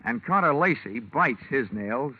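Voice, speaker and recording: An actor playing a wisecracking, shrewd insurance investigator in a 1950s radio detective show, with sardonic, punchy, slangy delivery. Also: male voice, mid-range voice, lively intonation, measured pace. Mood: sardonic, probing. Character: wisecracking hard-boiled male insurance investigator, brash and shrewd. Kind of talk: 1950s radio detective acting and first-person narration. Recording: muffled, hissy old radio recording.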